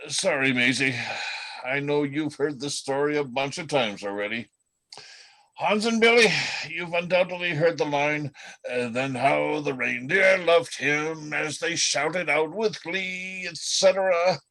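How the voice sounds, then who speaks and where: A man playing a chatty, world-weary reindeer in an amateur radio play, telling gossip in a folksy, halting way. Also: fairly high pitch, bright, forceful tone. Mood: indignant, gossipy.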